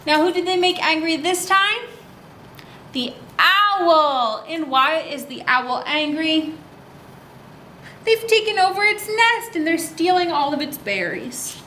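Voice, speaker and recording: A woman's voice: very high voice, expressive, slow pace, sharp tone. A woman hosting a children's story time, reading a picture book aloud and talking expressively to young children with leading questions. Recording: roomy echo, faint background noise.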